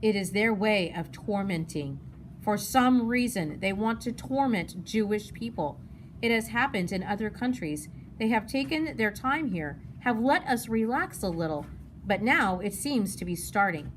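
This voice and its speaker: A woman's voice: mid-range voice, lively intonation, measured pace, close to an everyday voice. A woman reading a children's novel as a friendly, serious young man, a fond family friend. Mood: grave. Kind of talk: children's novel read-aloud. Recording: noticeable background noise.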